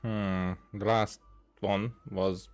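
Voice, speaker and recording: A man with a fairly low voice comments casually on a game he is playing. He rambles and hesitates as he works through his thoughts aloud. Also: flat delivery, very slow, faint music behind.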